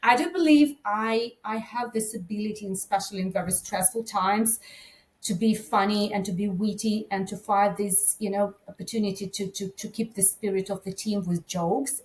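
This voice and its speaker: A woman's voice: mid-range voice, darkish tone, a little hiss or hum, low-quality recording. Enthusiastic Ukrainian-accented woman. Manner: enthusiastic, filler-heavy speech with many "like"s. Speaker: guest, event organizer. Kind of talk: conversational interview.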